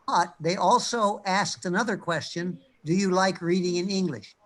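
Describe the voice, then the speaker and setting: A man on a discussion panel, telling anecdotes in a thoughtful way with frequent pauses and fillers. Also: sits high, fairly even intonation, a bit bright. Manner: thoughtful, many fillers, storytelling. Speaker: panel speaker, reading researcher.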